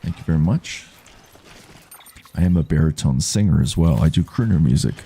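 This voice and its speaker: A man with a baritone voice giving calm, unhurried commentary while he plays a game and reads chat on a live stream. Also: vocal fry, husky. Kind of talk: relaxed live gameplay commentary.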